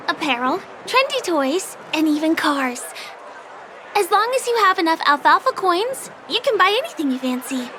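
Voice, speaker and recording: An actress voicing a friendly young woman who is a guide and stage performer, speaking gently and eager to please. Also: very high-pitched, slight background noise, full character voice. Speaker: game character. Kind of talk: game dialogue voice acting. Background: game music.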